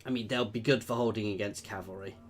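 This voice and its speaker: A man in relaxed commentary over a strategy game, musing aloud about his next move and answering his viewers. His voice sits on the low side, and a faint hiss runs under the recording. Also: quick pace. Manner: chatty, thinking aloud, reads out chat messages. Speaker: gameplay video creator.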